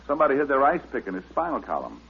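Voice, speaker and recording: An actor playing a wisecracking, easygoing private detective in a vintage radio drama, with a glib, casual manner. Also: male voice, mid-range voice, wildly swooping pitch, very fast, creaky, husky, full character voice. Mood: casual, friendly. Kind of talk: 1940s-style radio detective acting. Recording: narrow, noisy old radio recording.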